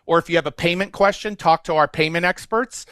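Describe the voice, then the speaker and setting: A man hosting an online webinar, pitching an offer in an upbeat, salesy way as he lists what people get. His voice is a touch high, and the sound is dry and close. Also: a little fast, a bit bright, smooth, dry recording. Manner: upbeat, salesy, runs through offer details. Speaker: webinar host.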